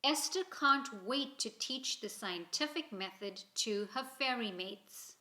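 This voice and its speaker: An echoey room carries this woman's storybook narration. Her voice is fairly high and bright, and she reads expressively and deliberately for young listeners. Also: animated. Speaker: storybook reader. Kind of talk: children's story narration, read aloud. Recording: roomy, echoing indoor sound.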